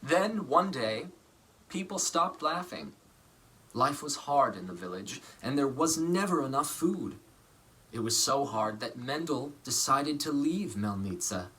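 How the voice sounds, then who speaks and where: Male storybook reader, bright forward tone, very animated melody, theatrical emphasis. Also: medium pitch, unhurried, low-quality recording. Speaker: actor reading a children's storybook aloud. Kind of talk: expressive storybook narration.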